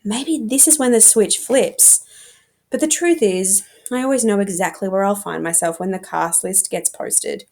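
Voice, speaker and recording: A woman reading a young adult novel aloud like a teacher, in an informal, expressive storytelling manner. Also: medium pitch, moderate pace.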